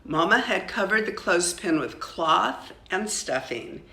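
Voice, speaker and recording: Bright-voiced older woman reading, echoey room. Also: fairly low pitch, animated, measured pace. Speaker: grandmother reading aloud. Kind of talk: children's storybook narration. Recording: echoey, roomy sound.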